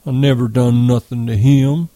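A man doing a slow, plaintive childlike farmhand. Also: medium pitch, level tone, dark tone, dry recording, close to an everyday voice. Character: simple giant farmhand, childlike and fearful.

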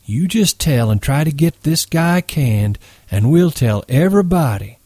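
A man voicing a steady, respected ranch boss who talks in a measured, authoritative way. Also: fairly high pitch, no echo, studio quality, voice pushed up.

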